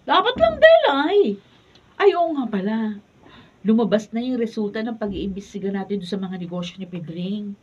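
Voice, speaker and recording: An actress playing an outspoken, excitable woman friend in a Filipino radio drama. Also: medium pitch, moderate pace, slightly rough. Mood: eager. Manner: emphatic. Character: outspoken, excitable female friend bringing news. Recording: dull distant mic, faint noise.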